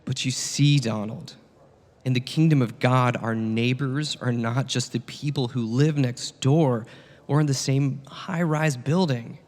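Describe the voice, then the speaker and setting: A man playing a patient, earnest teacher of parables in a satirical dramatic reading, solemn and deliberate, with long echo around the voice. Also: medium pitch, steady tone, a little mellow, close to an everyday voice. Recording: long reverberant hall echo.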